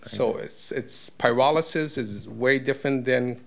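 Guy with a gravelly voice talking at a council podium mic, chatty and explaining, lots of ums. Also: medium pitch, measured pace. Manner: explanatory, conversational, many fillers. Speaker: public speaker at council meeting. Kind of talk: public comment at podium. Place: council chamber.

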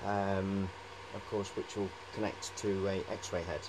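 A man's voice: sits low, flat delivery, measured pace, noisy background. A middle-aged man giving a casual, hesitant, rambling show-and-tell on a handheld camera in a cluttered workshop. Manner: rambling, hesitant, repeats "of course". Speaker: hobbyist presenter.

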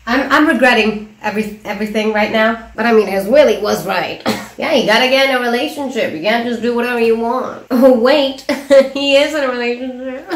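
A woman's voice: on the high side, fairly quick, reverberant, slight background noise. A young woman reacting to a video in casual commentary, teasing and giggly, with sarcastic asides. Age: young adult. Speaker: reaction vlogger. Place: small room at home, indoors.

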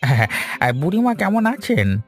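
A man's voice: high voice, sing-song, moderate pace, over music. A man voicing an ingratiating, presumptuous visiting doctor in a Bengali village cartoon, fawning and over-familiar.

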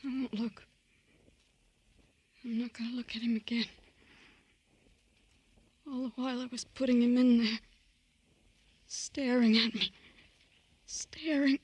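An actress playing a nervous, desperate young murder suspect in a radio crime drama, breathless and pleading. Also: female voice, fairly high pitch, fairly even intonation, slow, long pauses, a little mellow, husky, no echo. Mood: haunted, broken. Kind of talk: old-time radio crime drama acting.

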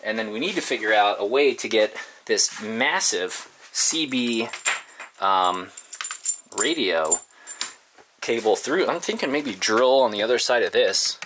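A man in his thirties vlogging casually, informal and halting as he thinks aloud to his viewers. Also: on the low side, lively intonation, background noise. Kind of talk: casual, rambling vlog chat to viewers. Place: inside a parked converted school bus.

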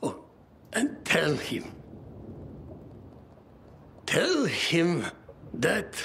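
A man's voice: fairly high pitch, huge pitch swings, vocal fry, fairly bright tone, a little hiss or hum, full character voice. An actor playing a wounded, stubborn old man who is dying, stammering weakly and short of breath in a video game. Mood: tearful, pleading. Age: older. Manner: halting, stammering speech broken by pauses. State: weak, breathless, coughing, in pain. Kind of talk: dramatic video game acting.

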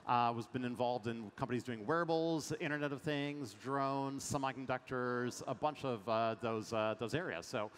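A middle-aged man from France speaks as a guest investor on a conference stage. His pitch sits in the middle, and he talks with energy, full of fillers. His headset mic picks up the long reverb of a big hall and a faint murmur behind him. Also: moderate pace, few pauses, a little hiss or hum. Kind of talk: panel fireside chat.

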